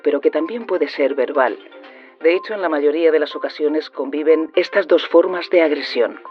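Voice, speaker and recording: A man plays an earnest television presenter with an accent from Spain. His voice is on the high side, serious and emphatic in a broadcast report style. The sound is a little dull, with faint music beneath. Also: lightly acted.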